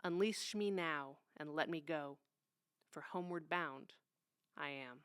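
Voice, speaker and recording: A woman reciting poetry in a solemn, deliberate and dramatic manner. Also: low voice, fairly bright tone, clear, resonant tone, dry recording, studio quality. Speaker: poetry reader.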